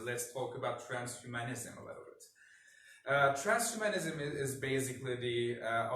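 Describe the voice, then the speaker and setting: Halting non-native man presenting, echoey room. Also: medium pitch, a bit bright. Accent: non-native English. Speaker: designer and researcher, co-presenter. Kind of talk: conference presentation. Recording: echoey room, distant mic, noisy.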